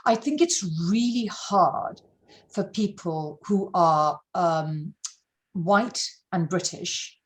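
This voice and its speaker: A middle-aged woman from Malawi, an interview guest speaking candidly and emphatically, often repeating words for stress. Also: on the low side.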